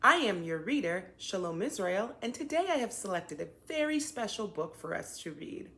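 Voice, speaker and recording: A woman presenting and reading a children's book aloud in an expressive, deliberate way. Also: mid-range voice. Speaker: children's book reader and teacher. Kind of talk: read-aloud storytelling for children.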